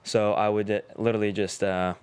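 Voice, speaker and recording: A man in his twenties from Uzbekistan, a Russian native speaker, talking as a podcast guest in a relaxed, rambling way with many fillers. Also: lowish voice, brisk, clean tone. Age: 20s. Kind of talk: casual interview chat.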